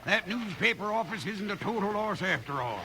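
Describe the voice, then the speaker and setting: A man's voice: sits high, lively intonation, brisk, sharp tone. A man playing a slick, bossy, smug traveling salesman in a 1950s western radio drama. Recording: noisy vintage radio with effects.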